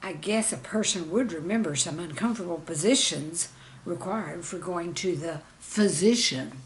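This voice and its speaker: A woman, a teacher, reading her own scripted humorous anecdotes in a deliberate storytelling manner. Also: lowish voice, slight background noise, poor audio.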